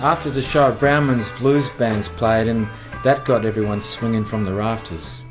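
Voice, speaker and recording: A man's voice: on the low side, fairly even intonation, normal speed, over music, lightly acted, low-quality recording. An actor with an Australian accent narrating a radio play as a young man recalling his bohemian days, in a dry, wry tone.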